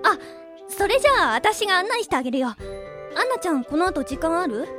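A woman playing an excitable, self-mocking teenage schoolgirl who narrates her own flustered thoughts in a radio drama. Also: very high voice, music in the background. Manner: flustered inner monologue, quick swings. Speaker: narrator and lead character.

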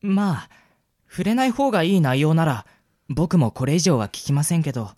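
A woman's voice: low-pitched, expressive, moderate pace, dark tone, dry recording, studio quality. A woman voicing an earnest, polite, easily flustered young man in an audio drama. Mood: polite, curious. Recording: music under the voice.

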